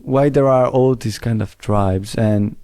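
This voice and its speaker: A young man from Italy hosts a casual interview and often pauses to search for his words. He speaks slowly in a smooth, mellow, somewhat low voice. The sound is dry. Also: no echo. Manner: searching for words, hesitant phrasing.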